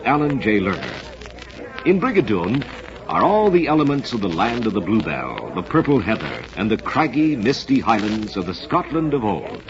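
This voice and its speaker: A man's voice: lowish voice, noisy background. A man hosting an old radio program, announcing from a script in a formal, theatrical showman's manner on a muffled vintage recording. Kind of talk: 1940s-50s radio announcing, scripted narration.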